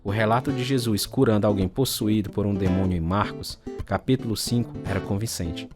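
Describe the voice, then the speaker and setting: A man's voice: lowish voice, fairly quick, music in the background, lightly acted. An actor playing a troubled recovering alcoholic man, narrating his life story in an earnest, confessional way. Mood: somber, reflective. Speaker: narrator and protagonist. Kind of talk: dramatized testimony narration with acted scenes.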